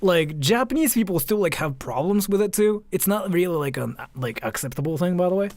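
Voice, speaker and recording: A man live streaming casual reaction commentary, talking in a halting, repetitive, informal way with some mild swearing. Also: sits high, lively intonation, darkish tone, no echo.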